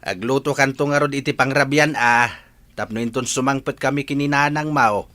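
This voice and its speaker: Man acting bright, sharp-voiced grumbling old father. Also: medium pitch, steady tone, moderate pace, no echo, lightly acted. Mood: scolding. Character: grumbling, scolding old village farmer father. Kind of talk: Ilocano comedy radio drama acting.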